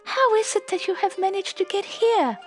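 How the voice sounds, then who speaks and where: Storybook princess, female narrator acting: young captive, high voice, wondering, hopeful, fragile. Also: animated, normal speed, faint music behind. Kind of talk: storybook character voice.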